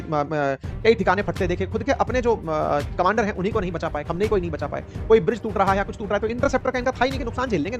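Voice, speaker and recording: A man explaining news analysis in an emphatic, teacherly, conversational way. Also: high-pitched.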